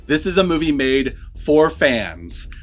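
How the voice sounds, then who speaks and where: A man's voice: fairly high pitch, sing-song, slow pace, faint music behind. A man giving his opinions in a casual, conversational, unscripted review chat. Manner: conversational, opinionated, says "like" often. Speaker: film review vlogger.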